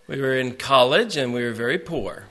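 Bright-voiced American preacher. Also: male voice, mid-range voice. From United States. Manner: speaks in short phrases, pausing for translation. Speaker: visiting preacher. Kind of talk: preaching through an interpreter.

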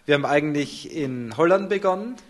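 A man's voice: fairly high pitch, lively intonation, brisk. A man interpreting a sermon live, turning each short phrase into a fuller sentence right after the speaker.

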